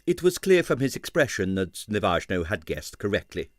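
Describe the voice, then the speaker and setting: A man reading an abridged novel aloud with expressive narration. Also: fairly low pitch, moderate pace, dry recording, studio quality. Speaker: audiobook reader.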